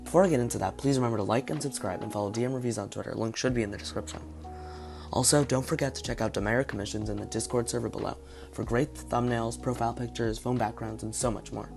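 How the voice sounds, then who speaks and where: A man with a hoarse, partly lost voice, talking through his guesses in a casual video commentary. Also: medium pitch, fairly even intonation, quick pace, music in the background. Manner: speculative, thinking aloud. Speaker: video reviewer.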